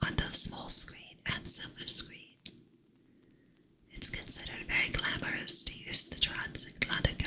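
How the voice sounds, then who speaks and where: Slow whispering woman on a stream. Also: rough recording. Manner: soft whisper, playful with chat; slow, drawn-out delivery. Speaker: ASMR streamer. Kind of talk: whispered ASMR live-stream chat.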